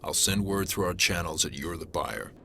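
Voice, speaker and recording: An actor playing a hard-nosed alien police detective in a video game, brusque and pragmatic, with clipped delivery. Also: male voice, low-pitched, normal speed, gravelly, sharp tone, a little hiss or hum, heavily acted.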